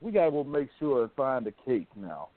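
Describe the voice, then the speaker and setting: A man chats as a radio host in a colloquial, storytelling way. His voice sits at a middling pitch and comes through thin and phone-like, dry and close. He gives his opinions casually. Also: very animated, a little fast, no echo. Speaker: radio talk show host. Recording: thin phone-like band-limited sound.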